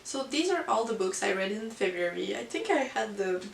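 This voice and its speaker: A woman's voice: mid-range voice, expressive, gravelly, a little hiss or hum. Hesitant woman, non-native English, roomy distant mic. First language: not English. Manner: hesitant, halting delivery. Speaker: book vlogger. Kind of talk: casual chat to camera about books.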